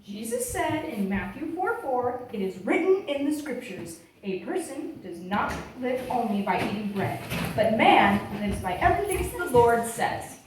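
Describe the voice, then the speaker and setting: A woman's voice: a little high, big pitch swings, unhurried. A woman voicing a bossy, reproachful talking Bible in a church puppet show, admonishing and instructing with emphasis. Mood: instructive. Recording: echoey room, distant mic, faint noise.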